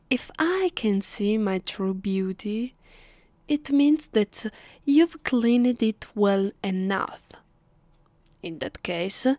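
A woman plays an ancient female vampire in an intimate ASMR roleplay, with an Italian accent. She speaks very slowly and softly, slipping into whispers, close to a dry microphone. Her manner is haughty, teasing and condescending, that of a mistress used to giving orders. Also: mid-range voice, no echo. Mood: commanding, threatening. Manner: condescending, commanding, unhurried. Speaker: voice actress.

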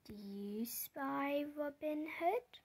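A storybook reader going through a picture book aloud in simple, deliberate phrases, pausing and putting questions to the listener. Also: high voice, lively intonation. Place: indoors, book held before the camera.

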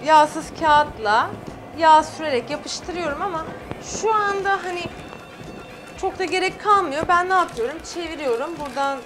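A woman's voice: high-pitched, moderate pace, music in the background. A middle-aged woman, a guest chef on a studio cooking show, explaining each step as she works. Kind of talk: cooking demonstration. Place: TV studio kitchen set.

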